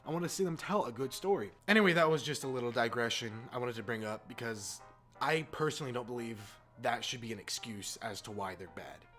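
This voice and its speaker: A man's voice: medium pitch, fairly quick, a bit bright. A man in a casual, exasperated rant as a gaming video host, informal and sweary. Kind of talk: casual opinionated rant to camera or mic. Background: background music.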